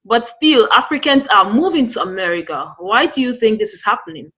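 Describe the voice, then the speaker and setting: A woman's voice: a little high, lively intonation, a little fast, sharp tone, breathy edge, poor audio. A woman hosting a channel, asking interview questions in a friendly, chatty way.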